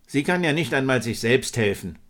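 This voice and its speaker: A man's voice: medium pitch, a little fast, lightly acted. A man voicing a hard, curt female head of security in a science fiction story. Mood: hard, alarmed.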